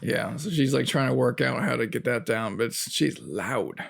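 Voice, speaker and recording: A man's voice: mid-range voice, lively intonation, moderate pace, vocal fry. Young guy from florida on a podcast, medium voice, lots of likes and ums, laid back. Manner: easygoing, lots of fillers, laughs often. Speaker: podcast co-host. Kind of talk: casual chat.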